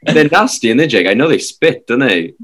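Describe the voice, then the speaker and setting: A man's voice: medium pitch, animated, fairly quick, crowd noise. A man hosting a podcast, chatting casually and teasing his guests with laughing banter.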